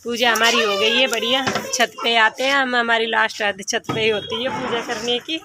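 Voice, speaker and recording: A woman chats casually to her viewers, calling them friends, in a voice pitched a little high. The phone recording picks up plenty of noise around her. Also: steady tone, normal speed, bright, forceful tone. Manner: chatty, addresses viewers as friends. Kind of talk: casual vlog chat.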